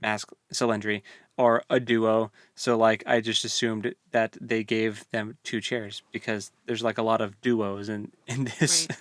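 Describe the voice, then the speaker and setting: A man's voice: fairly low pitch, little pitch movement, unhurried, fairly bright tone, no echo, studio quality. A man co-hosting a casual book podcast, rambling easily through the plot with loose restarts. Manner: rambling, lots of fillers and restarts, easygoing.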